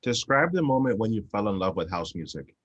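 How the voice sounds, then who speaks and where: A man with a fairly low voice hosts an interview, keeping his questions short and direct. He speaks plainly and lets his guest do most of the talking. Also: big pitch swings, brisk, fairly bright tone, dull audio. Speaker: interviewer, podcast host.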